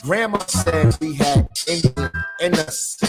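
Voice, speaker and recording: A man's voice: on the high side, takes it slowly, over loud music. A man rapping a song with a repeated chanted hook, his delivery punchy and mocking. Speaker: rapper. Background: hip hop beat.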